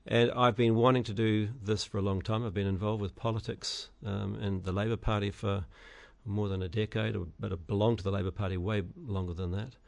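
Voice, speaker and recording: Gravelly halting New Zealand man. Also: fairly low pitch, steady tone. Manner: halting, many fillers and restarts. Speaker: election candidate guest. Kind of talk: radio interview answers.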